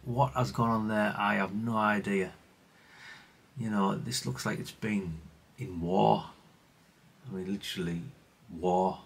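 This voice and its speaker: A man's voice: fairly low pitch, steady tone, unhurried, gravelly, slightly rough. A middle-aged British man giving a casual, unscripted hands-on demonstration as a hobbyist repairer, rambling, self-deprecating and mildly sweary. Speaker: hobbyist radio repair presenter.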